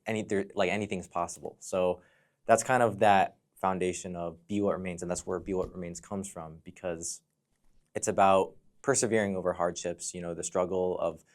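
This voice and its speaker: A man's voice: low voice, steady tone, moderate pace. An American man speaking conversationally as a podcast guest, telling personal stories in a reflective, explanatory way. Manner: reflective, explanatory, often says "you know". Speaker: podcast guest, fire dancer and entrepreneur.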